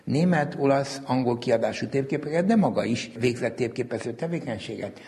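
Male researcher, mid-pitched, formal and precise, recorded with long reverb. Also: lively intonation, a little mellow. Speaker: researcher interviewee. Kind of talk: detailed historical explanation.

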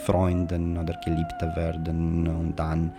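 A man with a Romanian accent explaining as an interviewed historian, in halting non-native German with grammar slips. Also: sits low, monotone, over music.

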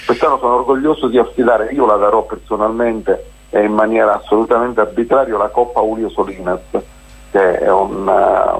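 Guy on a radio interview, kinda low husky voice, lots of ehs and restarts. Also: rough recording. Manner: rambling, hesitant, many fillers and restarts. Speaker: festival organizer, interview guest. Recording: radio broadcast.

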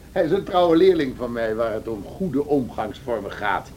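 Nasty domineering master in old radio play, sneery, voice jumps around a lot, bit noisy. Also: male voice, mid-range voice, big pitch swings, unhurried, darkish tone. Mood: mocking. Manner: sneering, commanding. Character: cruel, mocking, domineering master of the house. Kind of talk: radio drama acting.

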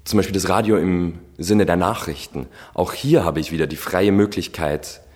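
A man's voice: sits low, normal speed, a little mellow, slightly rough. A man in his early thirties, an acting student, talking reflectively and articulately in long winding sentences in an interview.

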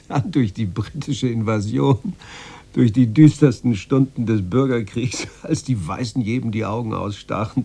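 A man's voice: fairly low pitch, gravelly, dark tone, husky, full character voice. An actor in a radio drama playing a nervous, servile Moscow radio director, flustered and stammering as he repeats himself. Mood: grim, bitter. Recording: noisy radio play recording with hum.

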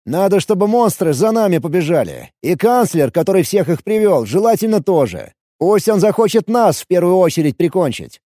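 A man's voice: sits high, very animated, normal speed, hardly any pauses, warm tone, no room sound, studio quality, pitched above the performer's own voice. A man playing a bold, brash fighter and leader with a commanding, urgent delivery in an audiobook.

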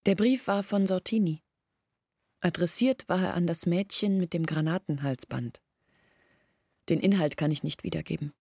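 An actress in a German radio play playing an earnest village woman who recounts events in a measured, literary way. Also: lowish voice, darkish tone, slightly rough, no room sound, clean studio sound. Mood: grave, recollecting.

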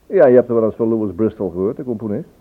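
A chatty, wry police inspector in a vintage radio drama. The voice is lowish and husky, swinging freely in pitch. The recording is narrow and tinny. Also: male voice, expressive, rapid-fire. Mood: gossipy, amused. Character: chatty male police inspector at Scotland Yard, gossipy, wry.

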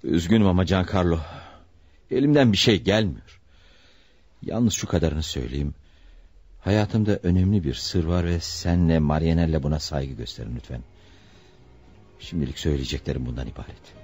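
A man's voice: low-pitched, normal speed, pauses often, a little mellow, breathy edge. An actor playing a stubborn, secretive wealthy father under arrest in a radio play, speaking in a grave, evasive way. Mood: grave, regretful. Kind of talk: radio theatre acting.

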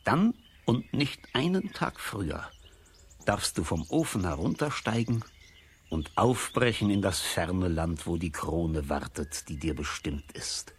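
A man playing a mysterious, solemn old wise man who foretells destiny in a children's radio play, speaking deliberately with a husky voice. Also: low-pitched, a little hiss or hum. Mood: solemn, prophetic. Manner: slow, deliberate, expressive, solemn.

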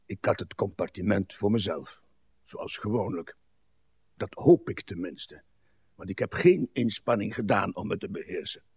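Husky, morbid old blind man. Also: on the low side, normal speed, a little mellow, no echo, clean studio sound, heavily acted. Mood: crotchety rambling. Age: older. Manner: morbid, querulous, long-winded grumbling with musing asides. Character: ailing, blind old husband, morbid, crotchety and rambling. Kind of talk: literary radio drama acting.

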